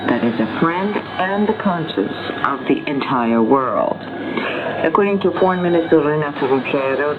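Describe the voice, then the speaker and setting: A woman with a deep-set voice delivers international news from a script. Her delivery is formal and measured, as a radio announcer's is. The sound is a thin, narrow shortwave signal with clear static and noise over it. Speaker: radio newsreader. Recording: noisy band-limited shortwave radio broadcast. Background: loud shortwave static and noise.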